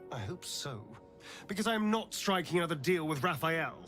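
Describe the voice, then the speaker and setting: An actor playing a sly, brooding vampire spawn rogue in a fantasy video game, with a smooth, sardonic delivery. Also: male voice, fairly high pitch, animated, normal speed, a bit bright, faint music behind. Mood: scheming. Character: wry pale vampire spawn rogue man, sly and haunted.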